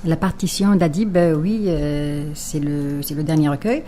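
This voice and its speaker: A woman from Algeria who is a native Kabyle speaker, talking as a poet guest in a radio studio interview and pausing often to think. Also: sits low, mellow, a little hiss or hum. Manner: thoughtful, pausing with fillers.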